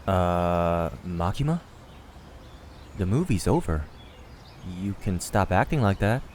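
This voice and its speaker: Weary anime man voiced by a man, low and slow, dry, faint outdoor ambience behind. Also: expressive, darkish tone, a little hiss or hum. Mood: wary, flat. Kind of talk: anime fan-dub acting.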